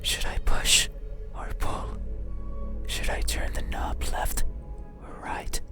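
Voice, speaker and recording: A man plays an eerie horror game monster that whispers intimately, as if begging at a bedside. The delivery is breathy and very slow, with halting phrases. Loud music plays underneath. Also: lightly acted. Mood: musing, uneasy. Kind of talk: ASMR-like horror game monologue.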